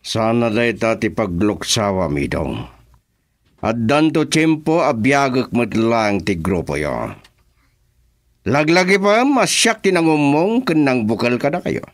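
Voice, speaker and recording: Casting: authoritative gang boss, Ilocano accent, radio serial, very animated pitch swings, close dry sound. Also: male voice, takes it slowly, no room sound. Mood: commanding. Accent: Filipino, Ilocano. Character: commanding male boss of an armed gang. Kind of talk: Ilocano radio drama acting.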